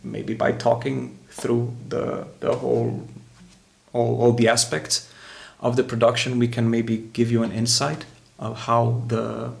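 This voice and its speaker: A man hosting and explaining music production in casual talk, halting as he searches for words. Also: medium pitch, completely flat delivery, creaky.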